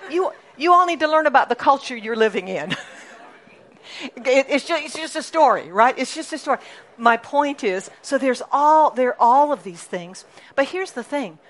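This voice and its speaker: A middle-aged woman pastor preaching a sermon in a lively, chatty way, often asking the congregation questions. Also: on the high side.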